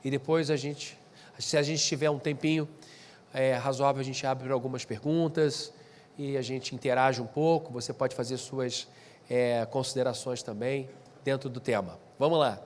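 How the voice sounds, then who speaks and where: A man's voice: medium pitch, fairly even intonation, normal speed, slight background noise. A man with a stuffy, nasal voice from allergies gives a conversational lecture to a live group, often restarting and adding asides. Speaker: speaker at a pastors' training session. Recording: long hall reverb.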